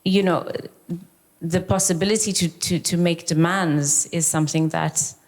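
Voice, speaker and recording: A woman's voice: fairly low pitch, steady tone, unhurried. A woman and festival curator answering on a public talk panel, thoughtful and searching for her words. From South Africa.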